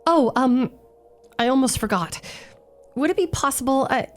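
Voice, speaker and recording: A woman's voice: high-pitched, animated, moderate pace, faint music behind. An actress playing an eager, ingratiating and plucky shop girl in a radio play. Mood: coaxing. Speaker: actor. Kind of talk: radio theatre acting.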